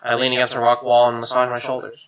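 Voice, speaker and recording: Male let's play narrator: bright, forward voice, medium pitch, wry story reading over faint game music. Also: completely flat delivery, fast talker, smooth, muffled recording.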